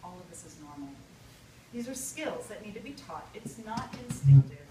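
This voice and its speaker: A woman reading a humorous personal essay to an audience, theatrical and emphatic, with deliberate pauses. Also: fairly low pitch, sing-song. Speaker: writer reading own essay. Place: live reading at an event. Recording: echoey, distant live recording with loud noise.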